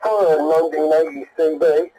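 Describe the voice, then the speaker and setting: A British man comes through on CB radio with a mellow, slightly high voice. He is a hobbyist chatting casually, blunt and colloquial, rambling from one point to the next. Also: steady tone, normal speed, low-quality recording. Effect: heard over CB radio. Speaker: CB radio enthusiast calling in.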